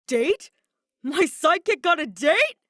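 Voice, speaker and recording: Woman playing a loud, excitable teenage boy. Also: measured pace, no room sound, clean studio sound, full character voice. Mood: astonished. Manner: booming, excitable, chummy. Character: loud, hearty teenage boy, teasing best friend.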